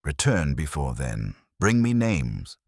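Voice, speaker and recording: Man voicing an imperious, very deep-voiced detective genius. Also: sing-song, a little mellow, no echo, studio quality, heavily acted. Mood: commanding. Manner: formal, measured, pompous. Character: imperious, pedantic older male genius detective.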